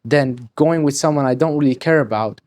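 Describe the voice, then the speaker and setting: A nineteen-year-old man from Hungary, rambling quickly and swearing freely as a podcast guest. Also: medium pitch, a little mellow, no room sound. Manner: rapid, rambling, swears freely, restarts sentences. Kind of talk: casual interview talk.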